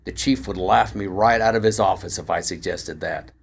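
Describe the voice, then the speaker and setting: A man's voice: low voice, moderate pace, creaky, breathy edge, voice pushed down, full character voice. A man voicing a wry small-town police detective in a story reading, dry and skeptical. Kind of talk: acted dialogue in a story reading.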